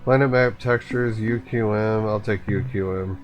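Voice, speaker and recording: A man giving a casual step-by-step software tutorial, informally thinking aloud as he goes. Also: fairly low pitch, steady tone, measured pace, soft background music. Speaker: tutorial host.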